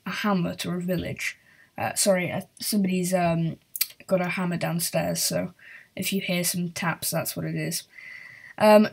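A woman's voice: lowish voice, a little mellow. A woman hosting a history video, talking casually with many fillers and apologies. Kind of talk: casual explainer narration. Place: house with work going on downstairs.

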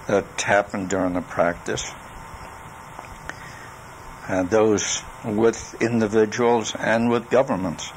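Slow, gravelly older man. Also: lowish voice. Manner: deliberate, halting reminiscence with long pauses. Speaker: storyteller recalling his life and legal practice. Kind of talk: spoken memoir on tape. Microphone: cassette recorder. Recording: noisy 1980s home cassette recording.

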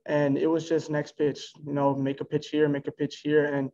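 Higher-pitched, even-toned young American man. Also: normal speed. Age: early 20s. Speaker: college baseball pitcher. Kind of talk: post-game press conference answers. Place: indoor press room before a backdrop. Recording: dull, lo-fi video call audio.

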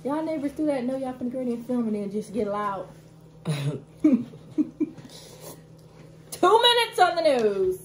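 Teasing young woman, higher voice. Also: sing-song, moderate pace, a little hiss or hum. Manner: teasing, laughing, calls out the timer. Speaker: co-host. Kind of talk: casual chat. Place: indoor room at a table.

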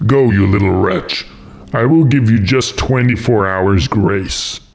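Man playing a slow, imperious storybook Grand Duke. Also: lowish voice, creaky. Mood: threatening. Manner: imperious, emphatic. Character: blustering, tyrannical Grand Duke with a short temper.

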